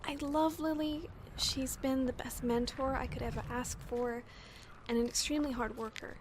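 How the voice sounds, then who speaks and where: A woman's voice: sits high, fairly even intonation, moderate pace, background noise, close to an everyday voice. A woman playing a frustrated young camp assistant in an audio drama, with a sardonic, sighing, conversational manner. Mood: venting. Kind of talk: naturalistic audio drama acting.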